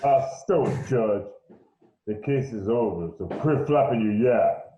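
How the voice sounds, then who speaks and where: A man voicing a gruff, mustached jury foreman who is secretly a crook, curt and dismissive. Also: on the low side, lively intonation, a little slow, mellow, echoey room, muffled recording.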